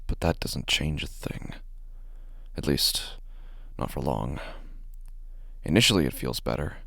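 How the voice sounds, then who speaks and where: Man acting deep-voiced, anxious, meticulous office worker. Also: animated, vocal fry, a bit bright, no room sound, clean studio sound, full character voice. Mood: grim, subdued. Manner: halting, deliberate, stammers when agitated. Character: anxious, meticulous male office worker unsettled by his typos. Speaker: narrator of a horror story. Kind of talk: first-person dramatic horror narration.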